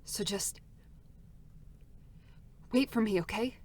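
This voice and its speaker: A woman voicing a weary, battle-hardened female android deserter, speaking in a cold, flat, bitter way during a live concert reading. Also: medium pitch, slow, slightly rough. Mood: somber. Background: faint music.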